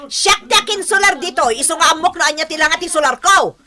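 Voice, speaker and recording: A woman's voice: very high voice, fast talker, bright, forceful tone. A woman with an Ilocano Filipino accent playing a cruel, haughty landowner who scolds with contempt in a radio drama. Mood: scornful.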